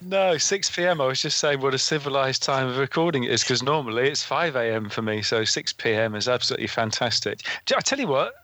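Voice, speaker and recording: A man's voice: medium pitch, expressive, fast, few pauses, pushed and bright, no room sound. A man co-hosting a podcast, chatting cheerfully. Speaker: podcast co-host. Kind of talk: casual chat.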